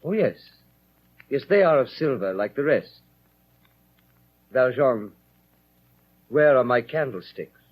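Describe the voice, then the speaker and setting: Dignified old clergyman, measured, soft solemnity, husky, 1930s radio drama, dull recording. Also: mid-range voice, animated. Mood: gently insistent. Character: gentle, dignified old bishop, serene and solemnly forgiving.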